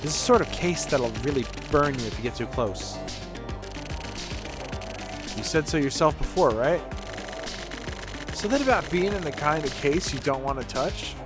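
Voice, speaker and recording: A man's voice: fairly high pitch, lively intonation, moderate pace, long pauses. A man streaming a game, reading its text aloud in character with a theatrical, colloquial delivery. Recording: music playing behind the voice.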